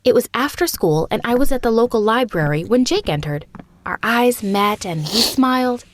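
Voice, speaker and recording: Young woman, story narrator, expressive and confiding, pitched a little high. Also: normal speed, slight background noise. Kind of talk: scripted first-person narration of an animated story.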